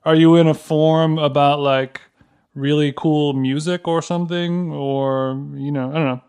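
A man's voice: a little high, steady tone, normal speed, darkish tone, clean tone. A man in his forties co-hosting a casual chat, asking wry questions. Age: 40s. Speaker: podcast co-host.

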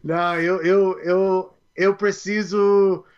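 Homem canadense hesitante, som abafado. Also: male voice, high voice, level tone, smooth, muffled recording. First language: English. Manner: halting, searching for words in a second language. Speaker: guest. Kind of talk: casual interview chat.

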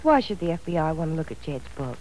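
Soft anxious young bride, mellow tone, faint old-radio hiss. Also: female voice, deep voice, very animated, quick pace, low-quality recording. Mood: worried. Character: young woman Penny, a worried bride-to-be. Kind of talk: 1940s radio acting.

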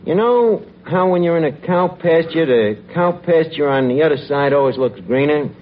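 A scheming, wisecracking New guy, played by a man in a 1940s radio comedy, wheedling in a highish, animated voice. His grammar is rough, and the old recording is dull and noisy. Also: normal speed, close to an everyday voice. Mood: sly. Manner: ungrammatical, hesitant, wheedling. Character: scheming, wisecracking lowbrow city guy, male.

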